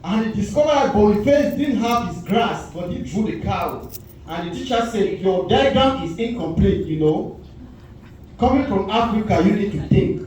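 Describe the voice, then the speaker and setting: A man's voice: sits high, moderate pace, slight background noise. A man in his forties from Cameroon with a Cameroonian accent, telling stand-up stories and acting out quoted voices. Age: 40s. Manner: storytelling with lively voiced quotes. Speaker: stand-up comedian. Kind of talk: stand-up comedy set. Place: comedy club stage. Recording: echoey club audio from far off.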